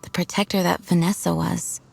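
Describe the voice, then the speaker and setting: Composed, dignified young knight commander. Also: female voice, fairly low pitch, little pitch movement, moderate pace, creaky, a little mellow, a little hiss or hum. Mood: earnest, composed. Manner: formal, composed, earnest. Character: dutiful, dignified young female knight commander, self-demanding. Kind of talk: video game character acting.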